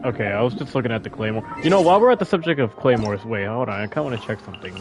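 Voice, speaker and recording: A man's voice: mid-range voice, lively intonation, rapid-fire. A man giving casual gameplay commentary, rambling and thinking aloud as he plays. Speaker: gaming video creator. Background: game music.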